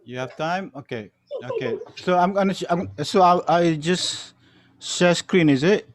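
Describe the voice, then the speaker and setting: Slow-talking man, halting and informal. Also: high-pitched. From Malaysia. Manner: halting, informal, mutters to himself. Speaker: guest storyteller, music archivist. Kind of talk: casual chat on an online session. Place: online video call from home.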